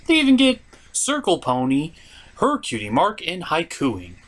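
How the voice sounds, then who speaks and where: A performer voicing a theatrical gentleman puppet host, reviewing a cartoon from a script with dramatic pauses and mock outrage. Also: medium pitch, huge pitch swings, full character voice.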